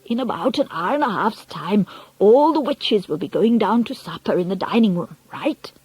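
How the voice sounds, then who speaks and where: Woman acting a feisty, husky old grandmother. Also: medium pitch, animated, normal speed, darkish tone, no echo, rough recording. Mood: conspiratorial.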